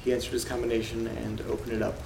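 A man hosting a horror audio drama, reading letters aloud in a quiet, flat, gravelly way. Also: mid-range voice, fast talker. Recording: roomy echo with loud rain noise. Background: rain and thunder.

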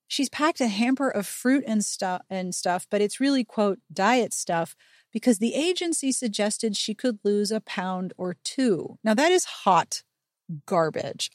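A woman with a fairly high voice, recorded close and dry. She recaps in a chatty, opinionated way as a podcast host, dropping wry, emphatic asides. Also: darkish tone, no room sound.

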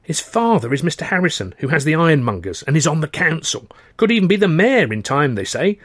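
Man acting a fussy, high-pitched little old Englishman. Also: sing-song, brisk, fairly bright tone, no echo, studio quality. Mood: hesitant, regretful. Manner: eager, anxious, chattering.

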